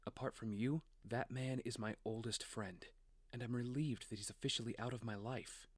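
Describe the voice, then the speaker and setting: A man's voice: medium pitch, fairly even intonation, moderate pace, no echo, clean studio sound, lightly acted. An actor playing an earnest family man and father in an audio drama, with a conversational manner. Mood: subdued, reflective.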